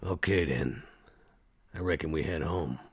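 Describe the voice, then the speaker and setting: A man playing a sly, dryly loyal sneak in a tabletop game, with a gravelly, husky voice and a folksy, hesitant drawl. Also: very deep voice, a little slow, full character voice. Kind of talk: improvised tabletop roleplay.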